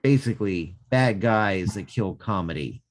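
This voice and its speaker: A man's voice: low-pitched, expressive, unhurried. A man co-hosting a casual music podcast, reading a listener's comment with playful comic asides. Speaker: podcast co-host.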